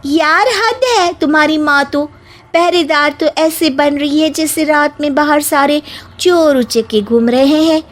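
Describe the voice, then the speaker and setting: High-pitched sassy young witch bride, played by a woman. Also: a little slow, faint music behind. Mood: defiant. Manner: cheeky, back-talking, theatrical cackling. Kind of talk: Hindi comic story acting.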